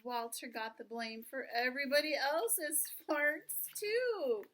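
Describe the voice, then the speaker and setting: Bright-voiced woman reading a picture book. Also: high voice, a little slow, a little hiss or hum. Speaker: reader of a children's picture book. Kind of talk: expressive read-aloud storytelling with chatty asides to a dog.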